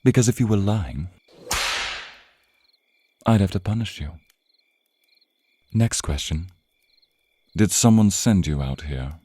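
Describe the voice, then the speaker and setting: A man playing a suave, menacing, teasing vampire captor in an intimate ASMR roleplay, with theatrical, purring, drawn-out phrasing. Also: low voice, expressive, dark tone, breathy edge, heavily acted. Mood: stern, threatening. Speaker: roleplay voice performer.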